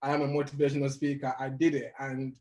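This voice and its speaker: A young adult man who grew up in Uganda, telling his life story as a guest in an interview, animated and laughing as he goes. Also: medium pitch, fast talker. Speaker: guest motivational speaker.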